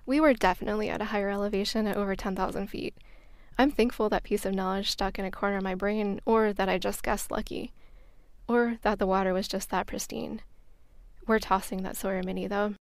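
A woman's voice: mid-range voice, fairly even intonation, a little fast, no room sound. An American woman hosting a podcast, reading a scripted travel story as narration. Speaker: podcast host.

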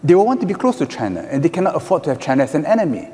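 A man on a conference panel, arguing his points in a measured, emphatic way with hand gestures. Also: fairly high pitch, very animated, warm tone. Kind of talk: panel discussion. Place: large conference hall stage. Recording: reverberant conference hall.

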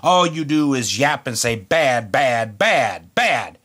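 A greedy, defensive storybook industrialist, played by a man. He blusters emphatically in a bright, animated voice with a husky grain. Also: mid-range voice, slow pace. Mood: angry, defiant.

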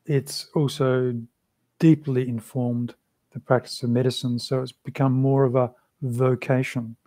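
A man, answering interview questions in a measured, thoughtful way over a video call from a small room. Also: mid-range voice, flat delivery, warm tone, no echo. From Australia. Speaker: interview guest, Buddhist practitioner. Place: small room with a shrine, indoors.

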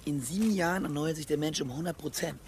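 A man's voice: a little high, quick pace, natural voice. An actor playing a cynical, teasing young man who talks evasively in a radio play. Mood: mocking. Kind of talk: German radio play acting.

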